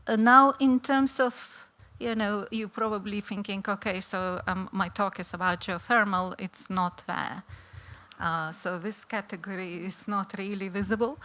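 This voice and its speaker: A woman's voice: mid-range voice, steady tone, unhurried, bright, forceful tone. A middle-aged woman from Lithuania with a Lithuanian accent, giving an academic conference talk in a chatty way with frequent ums and restarts. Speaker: conference speaker, law professor.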